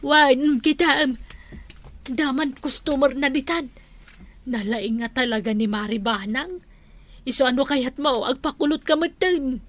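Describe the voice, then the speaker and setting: Female village shopkeeper played by an actress, comedy drama: friendly chatterer, high pitch, crisp bright tone. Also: unhurried. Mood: friendly chatting.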